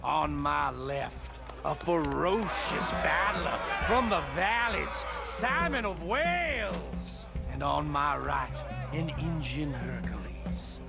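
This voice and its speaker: Slow, high-pitched showman fight announcer. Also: animated, creaky, exaggerated voice. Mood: grandiose. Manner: pompous, drawn-out showman's flourishes. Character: showy male bare-knuckle fight ringmaster, grandiose huckster. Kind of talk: theatrical old-time fight announcing. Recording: loud music behind the voice.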